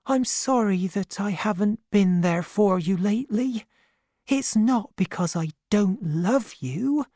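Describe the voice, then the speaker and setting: A woman voicing a devoted, humble servant woman with a hushed, earnest, affectionate manner in a storybook. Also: mid-range voice, expressive, slow, dry recording, clean studio sound, voice pushed up. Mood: tender, earnest.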